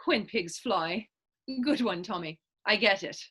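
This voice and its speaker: A woman's voice: medium pitch, measured pace, a bit bright, husky. A woman playing a bold, fervent Irish political idealist in a staged period drama reading, emphatic and persuasive. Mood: teasing, playful. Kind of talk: stage readers-theater dialogue in Irish period dialect.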